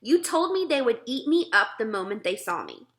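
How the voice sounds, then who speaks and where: A woman's voice: fairly high pitch, big pitch swings, moderate pace, fairly bright tone. A woman voicing a small, curious, earnest girl in a children's storybook, questioning and pleading persistently. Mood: insistent. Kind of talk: children's storybook read-aloud.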